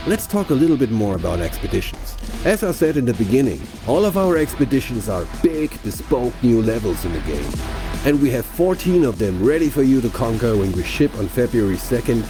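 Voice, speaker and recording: A man presenting a game showcase in a conversational, scripted promotional style, explaining things directly to viewers. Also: mid-range voice. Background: background music.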